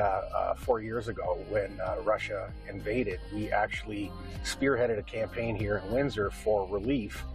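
A man's voice: fairly low pitch, rough recording. Earnest middle-aged Canadian man. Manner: earnest, rambling storyteller. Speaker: interviewee. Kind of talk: casual conversational interview.

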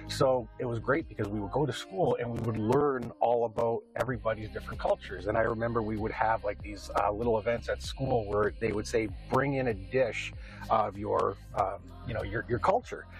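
A man's voice: lowish voice, fairly even intonation, brisk, faint music behind, poor audio. A middle-aged man with a Canadian accent, answering interview questions in an earnest, rambling conversational way.

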